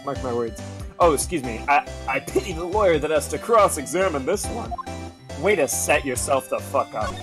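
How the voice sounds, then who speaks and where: Low-voiced, animated woman streaming. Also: normal speed, music in the background. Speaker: streamer reading game dialogue. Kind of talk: casual group game stream, reading and commenting.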